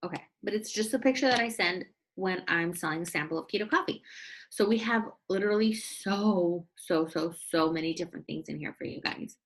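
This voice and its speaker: A young woman with a fairly low voice runs a casual training call for her team. She talks in a chatty, upbeat way and keeps addressing her listeners directly. Her voice comes through a video call. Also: fairly quick, slight background noise, poor audio. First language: English. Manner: chatty and upbeat, often saying "you guys". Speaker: team leader training sales distributors.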